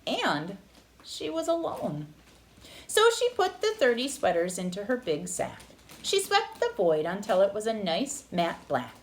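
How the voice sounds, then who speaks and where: A woman narrating a children's picture book aloud with expressive, dramatic phrasing. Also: mid-range voice, measured pace, slight background noise. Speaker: read-aloud storyteller.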